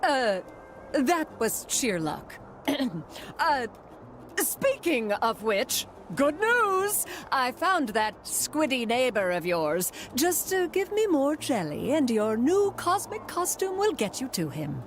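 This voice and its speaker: A woman's voice: sits high, big pitch swings, slow pace, background noise, exaggerated voice. A woman voicing a fussy, self-important mystic helper in a cartoon video game, theatrical and testy. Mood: flustered, upbeat.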